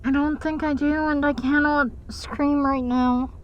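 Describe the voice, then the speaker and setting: A woman's voice: high voice, flat delivery, unhurried, lo-fi sound, slight background noise. A woman streaming a game live, hesitant and self-deprecating as she talks to her chat while losing her voice.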